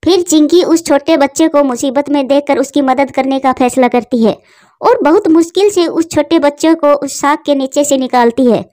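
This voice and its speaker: A woman's voice: sits high, moderate pace, mellow. A woman reading a scripted children's story as its narrator.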